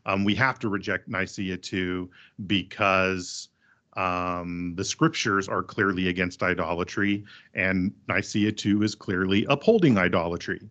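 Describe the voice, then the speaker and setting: A man's voice: low voice, steady tone, measured pace, a bit bright, lo-fi sound. A man who is a priest, speaking in a thoughtful podcast discussion and explaining things at length.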